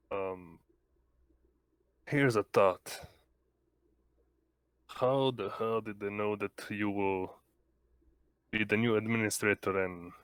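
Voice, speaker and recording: A man's voice: on the low side, clear, resonant tone, dry recording. A man taking part in a tabletop roleplaying game with casual, in-character chat.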